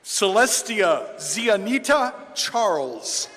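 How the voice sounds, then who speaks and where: A man reads names out one by one in a high, lively voice, taking his time over each. His voice carries a long echo through a big arena hall from the podium microphone. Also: slight background noise. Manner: slow, clear announcing of names. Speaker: name reader at graduation. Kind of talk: reading out graduate names. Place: large indoor arena. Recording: long reverb of a large arena.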